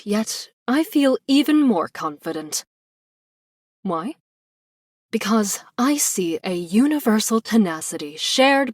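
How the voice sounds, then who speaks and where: Resolute, expressive sea warrior. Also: female voice, medium pitch, no room sound, studio quality. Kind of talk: game character voice acting.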